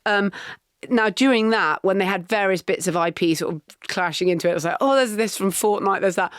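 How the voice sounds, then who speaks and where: A middle-aged woman co-hosting a podcast, telling anecdotes and running through lists in a lively way. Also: mid-range voice, a little fast, dry recording, studio quality. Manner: lively storytelling, lists and asides. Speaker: podcast co-host. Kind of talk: casual chat.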